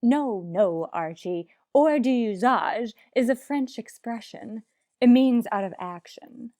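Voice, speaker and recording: An actress playing a haughty, fussy society matron with affected, melodramatic upper-class diction in old-time radio comedy. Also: female voice, fairly high pitch, animated, slow, mellow, no echo, clean studio sound. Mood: haughty, refined. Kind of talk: 1940s radio comedy acting.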